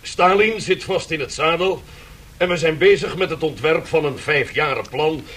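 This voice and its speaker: An actor playing an evasive, courteous Soviet envoy in a 1970s radio drama, formal and polite. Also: male voice, a little high, moderate pace, a bit bright, slightly rough. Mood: firm.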